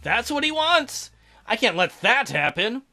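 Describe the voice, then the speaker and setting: A male let's play host reading a visual novel aloud with joking asides. His voice sits high and sounds bright and sharp. He breaks off the text with goofy running commentary. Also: very animated, a little slow, vocal fry, soft background music.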